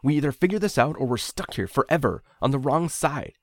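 A man playing a stubborn young man in an audiobook, arguing with desperate insistence and pressing every point. The voice sits clearly higher than the reader's deep narration. It is recorded dry and close. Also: big pitch swings, fairly quick, no room sound. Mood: urgent, insistent. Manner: pushing, insistent.

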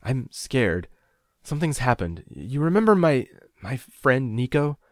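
Audiobook role: anxious, lost son acted by a male reader, pitch lifted, pleading and faltering, dry sound. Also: big pitch swings, measured pace, no echo. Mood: scared, desperate. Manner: hesitant, pleading, emotional. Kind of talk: audiobook dialogue.

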